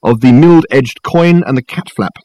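A man's voice: mid-range voice, normal speed, mellow, no echo, lo-fi sound, pitched above the performer's own voice, lightly acted. A man voicing a flamboyant, pompous detective in an audiobook, lecturing theatrically and emphatically. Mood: grand, lecturing. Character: flamboyant, pompous self-styled detective, grandly professorial man. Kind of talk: dramatised audiobook dialogue.

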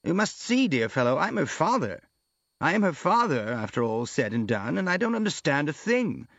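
A man's voice: a little high, big pitch swings, creaky, fairly bright tone, no echo, dull audio, pitched above the performer's own voice, exaggerated voice. A man voicing a flustered middle-aged general in an audiobook, a bewildered, fretting father who halts and repeats himself. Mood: agitated, bewildered. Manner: stammering, repetitive, halting.

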